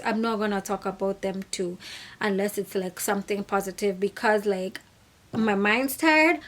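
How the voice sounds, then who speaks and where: A young woman from Kenya reviewing a reality show in a casual vlog, sassy and animated, acting out the people she quotes. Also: medium pitch.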